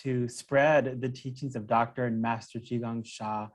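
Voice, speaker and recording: A middle-aged man from California, a podcast guest telling his personal story in a friendly, relaxed, conversational way. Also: medium pitch, a little slow. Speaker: podcast guest, healing teacher. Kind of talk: podcast interview.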